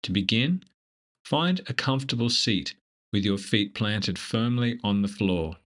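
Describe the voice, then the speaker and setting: Man, fairly low pitch, meditation guide, gentle delivery, measured instructions, scripted. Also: lively intonation.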